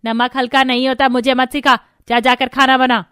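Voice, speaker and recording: A woman voicing a greedy, scolding middle-aged mother-in-law in a cartoon, with a sharp-tongued, commanding manner. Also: high voice, level tone, normal speed.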